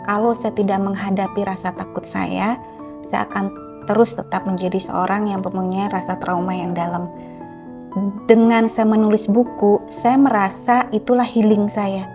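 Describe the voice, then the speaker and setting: A woman's voice: mid-range voice, steady tone, moderate pace. Middle-aged lady telling her story in an interview, earnest n explaining, careful phrasing, bit of music behind. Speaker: interview guest; author. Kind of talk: personal testimony in an interview.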